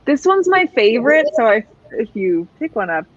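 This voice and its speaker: Female panelist, slightly high voice, emphatic book-lover chat, dull close call sound. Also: sing-song, moderate pace. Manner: enthusiastic, emphatic. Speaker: readalong panelist. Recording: muffled, dry video-call audio.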